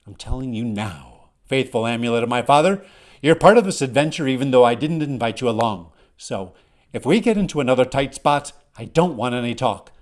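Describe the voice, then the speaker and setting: A man's voice: lowish voice, sing-song, moderate pace, few pauses, husky, exaggerated voice. A man voicing a bold, impatient young male adventurer in a fantasy story, speaking insistently and sternly. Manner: insistent, indignant, commanding.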